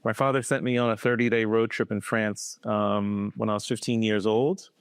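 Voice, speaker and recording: A man in his fifties from Boston, telling his life story as a podcast guest in a reflective, measured way. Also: on the low side, level tone, clean tone, no room sound, clean studio sound. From Boston, USA. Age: 50s. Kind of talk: casual conversational interview.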